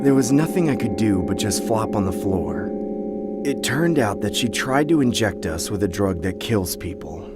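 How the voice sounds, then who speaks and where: A man with a fairly low voice narrates a scripted horror story. His delivery is dramatic, with very animated intonation, as he tells it in the first person.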